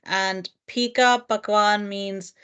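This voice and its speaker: A woman teacher and lecturer answering interview questions in a thoughtful, earnest way, with frequent fillers and soft laughs. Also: medium pitch, fairly even intonation, slow pace, smooth. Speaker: guest, primary teacher and lecturer. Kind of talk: reflective interview conversation.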